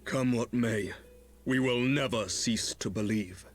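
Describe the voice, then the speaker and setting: An actor playing a noble, earnest leader in a fantasy video game, speaking formally and eloquently. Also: male voice, mid-range voice, a little slow, faint music behind, natural voice. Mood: resolute.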